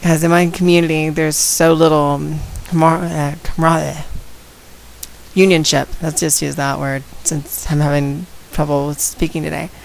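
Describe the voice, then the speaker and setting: Raspy low-voiced woman with a head cold. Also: little pitch movement, normal speed, rough recording. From San Antonio, Texas. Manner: outspoken, direct, addresses viewers. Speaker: vlogger. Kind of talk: casual talk to camera.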